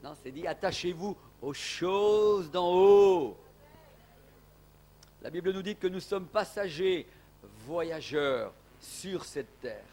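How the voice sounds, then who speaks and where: A man's voice: sits high, animated, slow, dark tone. A man from Portugal preaching a sermon as an evangelist in a church, emphatic, with rising exclamations.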